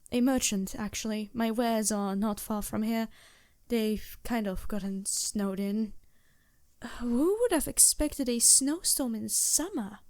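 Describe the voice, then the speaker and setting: Timid, high-voiced vampire woman, played by an actress. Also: a little mellow, no echo, studio quality. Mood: timid pleading. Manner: hesitant, stammering, courteous, apologetic. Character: polite, anxious vampire woman posing as a traveling merchant. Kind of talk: fantasy roleplay audio drama, addressing the listener.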